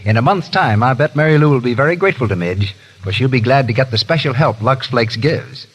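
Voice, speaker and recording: Lively male 1930s radio announcer. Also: medium pitch, fairly quick, husky, poor audio. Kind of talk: scripted 1930s radio advertising narration. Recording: vintage 1930s radio broadcast.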